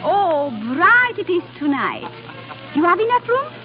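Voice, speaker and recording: Muffled vintage radio with loud music carries a young cafe singer. She is very high-pitched and swoops expressively, dreamy and wistful in the manner of 1930s radio drama. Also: big pitch swings, measured pace, full character voice. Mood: dreamy, playful.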